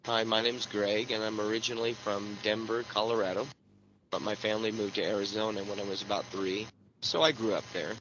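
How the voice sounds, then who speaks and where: A man reading a scripted textbook listening passage slowly and clearly. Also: fairly low pitch, little pitch movement. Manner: slow, clearly enunciated reading. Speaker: listening exercise recording. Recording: lo-fi playback with background noise.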